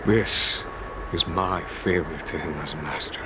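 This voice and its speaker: A composed middle-aged general and sword master, pitched low. He speaks with measured, formal calm in video game style, over clear background noise. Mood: grave. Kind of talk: game voice acting.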